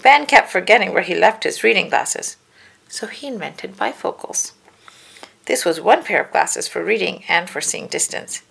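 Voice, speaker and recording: A woman reading a children's storybook aloud, with careful, deliberate phrasing for young listeners. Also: on the low side, expressive, vocal fry, a bit bright, slightly rough. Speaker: children's book reader. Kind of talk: read-aloud storybook narration.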